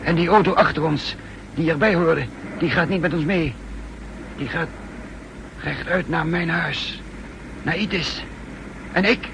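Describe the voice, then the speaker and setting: A man's voice: fairly high pitch, a little slow, creaky, pauses often. A man playing a frightened, blustering captive who stammers out protests in a 1960s radio drama. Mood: nervous, puzzled. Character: threatened male captive, panicky, blustering, indignant. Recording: faintly noisy 1960s radio recording.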